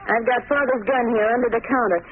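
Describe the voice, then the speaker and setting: A woman's voice: on the high side, steady tone, fast, lightly acted. A young woman playing a brave, blunt, defiant shopkeeper in 1930s radio drama. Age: young adult. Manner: plucky, blunt. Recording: tinny, noisy 1930s radio recording.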